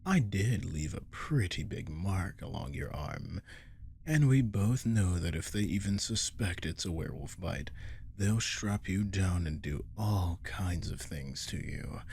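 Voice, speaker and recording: A man playing a captive werewolf in an ASMR roleplay, seductive, menacing and possessive, speaking in a slow, coaxing, teasing murmur close to the mic. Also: low voice, animated, creaky, darkish tone, slight background noise, exaggerated voice. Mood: coaxing, threatening. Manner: slow, coaxing, teasing, often dropping to whisper. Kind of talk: ASMR audio roleplay acting. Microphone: close microphone.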